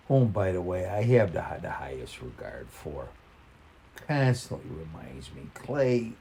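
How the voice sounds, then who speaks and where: Very deep, gravelly older male storyteller. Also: dark tone, slight background noise. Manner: folksy, plain-spoken. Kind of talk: reading a personal short story in a conversational, reminiscing way.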